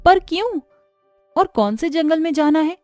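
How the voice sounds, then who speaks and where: A woman's voice: very high voice, animated, normal speed, mellow, soft background music. A woman voicing a worried, poor village mother in a storybook tale, with an anxious, questioning manner. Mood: doubtful, worried.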